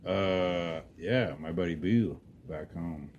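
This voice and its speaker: A man's voice: low-pitched, slow pace, a little mellow, muffled recording. A man hosting a casual podcast, reading out listener responses, swearing freely and stumbling over names. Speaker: podcast host. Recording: noisy background.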